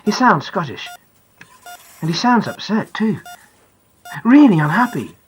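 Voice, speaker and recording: A woman's voice: medium pitch, big pitch swings, measured pace. A woman playing a candid young boy who narrates his thoughts in a first-person audiobook, in plain, childlike speech. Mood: observant.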